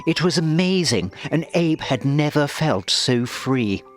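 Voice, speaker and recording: Male children's narrator: measured pace, lively intonation, expressive storybook read with light music underneath. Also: a little high.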